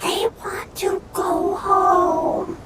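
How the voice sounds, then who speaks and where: A woman voicing a small, gentle, childlike spirit in a video game, murmuring in halting, breathy phrases. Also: very high-pitched, steady tone, distant mic, background noise, exaggerated voice. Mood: wistful.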